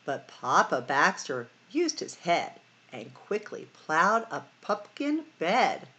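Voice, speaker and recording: A middle-aged woman hosting storytime, reading a picture book expressively to children with sing-song, drawn-out emphasis. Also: lowish voice, very animated, bright, forceful tone. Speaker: library storytime host.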